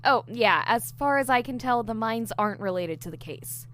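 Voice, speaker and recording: A woman's voice: a little high, expressive, normal speed, a bit bright. A woman playing an eager, diligent newly hired investigator in an audio drama, explaining things earnestly. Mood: assured, explanatory. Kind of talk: audio drama dialogue, explaining case theories.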